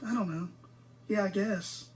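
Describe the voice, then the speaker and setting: An actress plays a female technician in an audio drama, blunt but flustered. She sounds natural and hesitant, muttering as if she would rather not talk, at a middling pitch. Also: fairly even intonation, unhurried, a little mellow, lightly acted, rough recording. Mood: irritated, hesitant.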